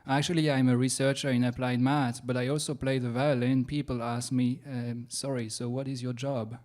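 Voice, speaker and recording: A young man from France with a French accent, doing a science stand-up comedy set in a self-mocking, halting way with playful asides. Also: medium pitch, little pitch movement, a little mellow. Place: comedy venue stage.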